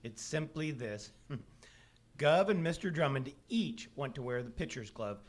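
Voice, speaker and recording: An actor playing a folksy, matter-of-fact son who explains things patiently in an old-time radio comedy. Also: male voice, medium pitch, expressive, unhurried. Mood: dry, explaining. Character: dry, know-it-all son explaining patiently.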